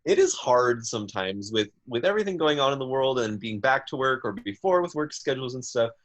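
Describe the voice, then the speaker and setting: A man's voice: medium pitch, expressive, a little fast. Nasal, easygoing man. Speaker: podcast co-host. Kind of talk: casual chat.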